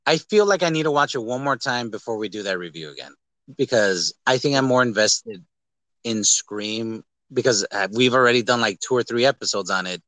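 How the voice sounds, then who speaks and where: Animated, bright-voiced man chatting about movies. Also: mid-range voice, fairly quick, no room sound. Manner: animated, rambling, jokey, uses profanity. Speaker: podcast host. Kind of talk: casual movie review chat.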